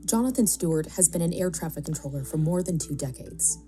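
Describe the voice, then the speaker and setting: A woman's voice: low voice, expressive, brisk. A woman reading scripted news narration in the role of a video report narrator. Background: soft music bed.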